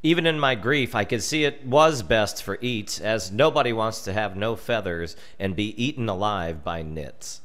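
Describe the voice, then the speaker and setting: An American man reading a scripted story as narrator, theatrically, with dramatic pauses. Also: fairly low pitch, a bit bright. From United States. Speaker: storyteller reading his comic family memoir.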